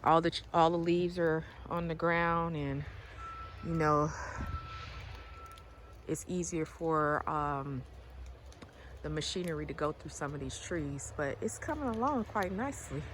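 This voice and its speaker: A woman giving a casual walking tour of her land. She has a cold, clears her throat now and then, and rambles as she goes. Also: deep voice, measured pace, pauses often, noisy background. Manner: chatty, loose and rambling, repeats words. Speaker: farm owner vlogger. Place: outdoors on rural land in the rain.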